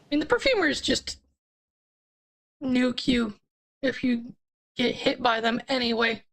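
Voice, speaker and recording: Gravelly high-voiced woman gamer. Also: expressive, measured pace. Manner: chatty, explains game mechanics with restarts. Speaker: gaming streamer. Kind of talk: casual gameplay commentary.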